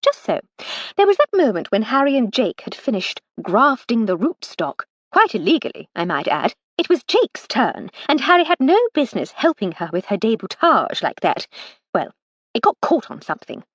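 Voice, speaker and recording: A woman's voice: a little high, big pitch swings, moderate pace, no echo, pitched above the performer's own voice. Woman voicing an arch, teasing posh lady. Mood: airy. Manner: arch, drawling and playfully mocking. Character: posh, teasing upper-class English lady.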